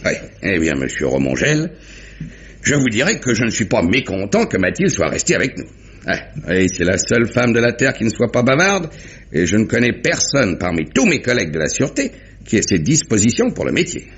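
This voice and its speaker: Police inspector, genial, methodical, theatrical, extremely animated intonation, dull old radio drama sound. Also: male voice, on the low side. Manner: deliberate, theatrical recapping. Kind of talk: 1950s French radio mystery acting.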